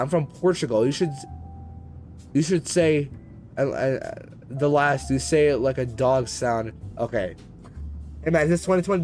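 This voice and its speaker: A man chatting casually as he live-streams a flight simulator, in a rambling, slangy, conversational way. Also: on the high side. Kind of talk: casual live-stream chat while gaming.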